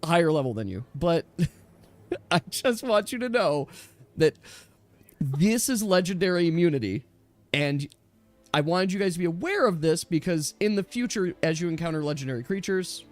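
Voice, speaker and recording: A man running a tabletop game, explaining rules casually in a chatty, halting way. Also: fairly high pitch, lively intonation, soft background music. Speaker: game master.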